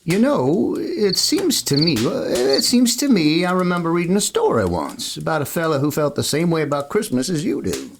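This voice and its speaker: Man playing a folksy, lively-pitched drifting cowboy. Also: normal speed, creaky, few pauses, a little hiss or hum. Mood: folksy, reminiscing. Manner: hesitant, folksy drawl, rambling. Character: easygoing, kindly drifting Texas cowboy, folksy storyteller. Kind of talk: old-time Western radio play acting. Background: plucked guitar music.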